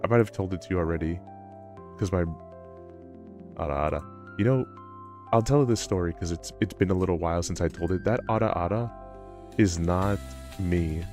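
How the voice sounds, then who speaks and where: A man with a low voice chatting casually on a live stream, rambling and self-deprecating. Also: normal speed, long pauses, darkish tone. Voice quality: low-pitched voice. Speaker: livestreamer. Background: background music.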